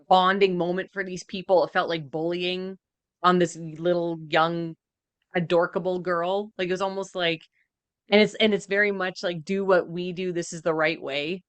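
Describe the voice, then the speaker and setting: A woman's voice: fairly low pitch, a bit bright, smooth, no echo. A woman co-hosting a podcast, reacting casually in a blunt, emphatic way. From Canada. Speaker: podcast co-host.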